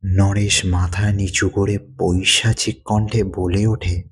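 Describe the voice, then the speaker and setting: A man narrating a dramatic thriller story in measured, suspenseful phrases. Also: sits low, little pitch movement, breathy edge.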